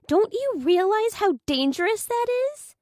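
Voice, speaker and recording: A woman's voice: extremely high pitch, lively intonation, unhurried, darkish tone, no room sound, studio quality, voice pushed up. A woman voicing a frantic, protective aunt who fires anxious questions in a thriller audiobook. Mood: scolding.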